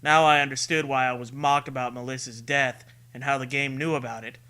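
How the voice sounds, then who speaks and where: A man's voice: mid-range voice, steady tone, brisk, bright, forceful tone, clear, resonant tone. A man reading a scary story as a narrator, with dramatic, earnest delivery. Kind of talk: dramatic scripted narration of a creepypasta.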